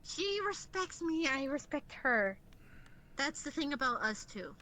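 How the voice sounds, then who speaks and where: A woman's voice: sits high, very animated, a little slow, pushed and bright. A woman roleplaying casually in an online game, switching between in-character chat and narrating actions. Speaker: roleplay player. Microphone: voice chat in a virtual reality game.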